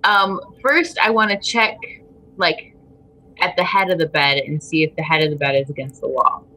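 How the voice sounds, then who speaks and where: A woman's voice: low voice, steady tone, moderate pace, bright, forceful tone, a little hiss or hum, low-quality recording. A woman chatting casually as a player in an interactive fiction game, playful and a little bossy. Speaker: podcast guest playing a text adventure.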